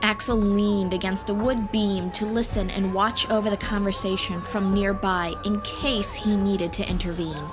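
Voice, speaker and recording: A woman reads a fantasy story as its narrator, in a mid-range voice. Music plays clearly behind her scripted narration. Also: medium pitch, steady tone, moderate pace, hardly any pauses.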